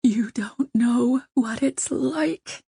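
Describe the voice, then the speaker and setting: A frightened noblewoman pleading in trembling whispers, put on by a woman narrating an audiobook. The voice rides high and strained. The recording is dry and close. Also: moderate pace, mellow, breathy edge, no room sound. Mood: fearful. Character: frightened, desperate noblewoman, grief-stricken and pleading. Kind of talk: audiobook reading.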